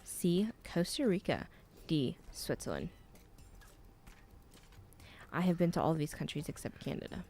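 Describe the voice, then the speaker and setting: A woman's voice: fairly low pitch, measured pace, pauses often, slight background noise. A woman, co-hosting a casual podcast chat and reading out trivia questions with teasing energy. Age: 20s. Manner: animated, teasing quizmaster.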